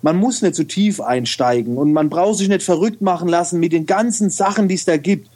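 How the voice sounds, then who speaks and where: Man, regional German dialect, high-pitched voice, chatty and loose, guest in a podcast interview. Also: a little fast, a little mellow. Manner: chatty, loose, many fillers and restarts. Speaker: guest, photo retoucher and video trainer. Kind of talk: casual interview talk.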